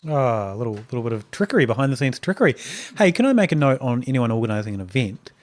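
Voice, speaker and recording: A man's voice: mid-range voice, sing-song, fast. A man co-hosting a podcast, chatting casually and joking around. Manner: joking, easily amused, chatty. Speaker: podcast co-host. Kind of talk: casual banter.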